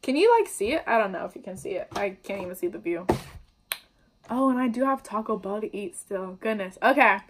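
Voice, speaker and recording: A woman's voice: medium pitch, animated, a little fast, a little hiss or hum. A young woman vlogging at home, talking casually to the camera with upbeat, slangy chatter while she bakes. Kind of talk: casual baking vlog. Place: indoors at home, kitchen table before a wallpapered wall.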